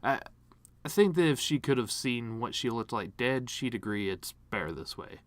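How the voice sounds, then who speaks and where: Man acting as bitter grieving cartoon horse, sardonic deadpan, rambling eulogy monologue, mid-pitched male voice, dry close sound. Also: normal speed, no echo, lightly acted. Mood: morbid, apologetic. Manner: sardonic, rambling, self-deprecating deadpan humor. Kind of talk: dramatic monologue reading.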